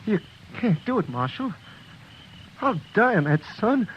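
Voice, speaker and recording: Craven western outlaw, high voice, American, pleading, stammering, muffled old radio recording. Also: male voice, sing-song, measured pace. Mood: terrified pleading. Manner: frantic, begging, stammering. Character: cowardly, panicky, pleading captive outlaw. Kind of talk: 1950s radio western acting. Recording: muffled, faintly noisy vintage radio recording.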